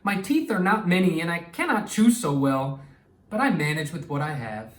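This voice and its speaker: A man voicing a kindly, good-humored old grandfather from a children's storybook, talking warmly to his grandchildren. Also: a little high, big pitch swings, normal speed. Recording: echoey room, distant microphone.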